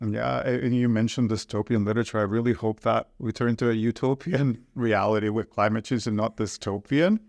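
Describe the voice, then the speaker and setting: Earnest Ecuadorian man, lowish voice. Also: steady tone, fairly quick, creaky, few pauses, darkish tone, no echo, studio quality. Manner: earnest, hesitant with repeated words. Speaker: guest, environment director. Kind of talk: casual interview conversation.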